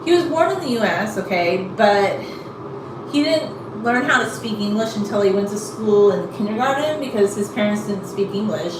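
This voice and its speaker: A woman's voice: medium pitch, lively intonation, normal speed, echoey room, far from the mic, noisy background. A woman chatting casually in a rambling way with many fillers while she combs out her hair. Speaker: vlogger.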